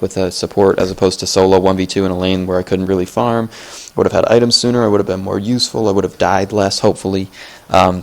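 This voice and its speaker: A man giving casual, rambling live commentary over his own match, often correcting himself and addressing viewers as guys. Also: deep voice. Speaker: gameplay commentator. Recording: loud game music behind voice.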